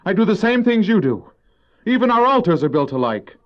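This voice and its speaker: An actor playing Cain, a proud, resentful farmer and elder brother, in a theatrical, argumentative manner for a religious audio drama. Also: male voice, high-pitched, big pitch swings, brisk. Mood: defiant. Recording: dull old cassette audio drama.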